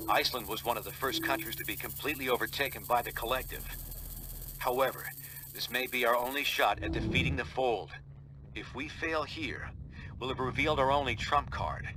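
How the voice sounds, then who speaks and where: A resolute, grave male squad leader, voiced by an actor in a video game. He briefs deliberately at a medium pitch, with clear noise behind him. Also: lightly acted. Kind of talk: scripted game mission briefing.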